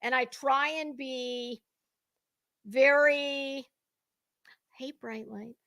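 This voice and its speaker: Woman, sharp forceful tone, slow delivery, emphatic stresses, confrontational, off-the-cuff commentary. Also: sits high, fairly even intonation. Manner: blunt, sweary, confrontational. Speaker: online commentary creator. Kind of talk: unscripted gossip commentary rant to viewers.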